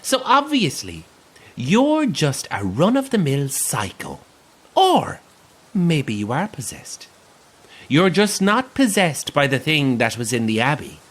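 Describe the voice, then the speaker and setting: Theatrical, swooping Irish possessed tour guide. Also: male voice, on the high side, wildly swooping pitch, takes it slowly, exaggerated voice. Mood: mocking. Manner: theatrical, taunting. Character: possessed male coach tour guide, gleefully sinister and mocking. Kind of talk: audio drama acting.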